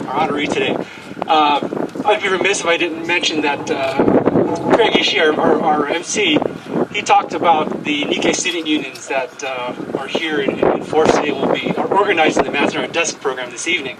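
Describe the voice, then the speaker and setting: A man's voice: on the high side, far from the mic. A middle-aged or older man giving an informal, emphatic tribute speech at a windy outdoor event. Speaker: committee speaker introducing an honoree. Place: outdoor event in strong wind. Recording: windy outdoor mic, loud wind noise.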